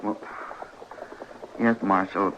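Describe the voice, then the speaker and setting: An actor playing a strange, meek little drifter in a radio Western, with a halting, polite, folksy American Western drawl. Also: male voice, deep voice, level tone. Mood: meek, earnest. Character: strange, meek little drifter obsessed with his hanging rope. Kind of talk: old-time radio Western acting. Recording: muffled old radio recording with hiss.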